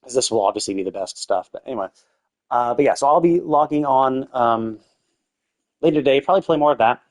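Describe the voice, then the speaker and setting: A man's voice: medium pitch, animated, a little fast, darkish tone. A man chatting casually on a gaming livestream in a rambling, conversational way, with loose, casually profane talk. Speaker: live streamer.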